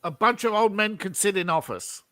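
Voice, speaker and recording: 50s guy, high bright voice, laughs a lot, rants, super dry close sound. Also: lively intonation, moderate pace, dry recording. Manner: chuckling, opinionated, ranting in bursts. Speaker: participant in an online group chat. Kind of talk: casual chat.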